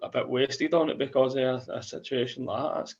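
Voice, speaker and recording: A man's voice: mid-range voice, fairly even intonation, a little fast, creaky, a little mellow. A man hosting an interview, reacting with short casual comments. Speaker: interview host. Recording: dull lo-fi audio.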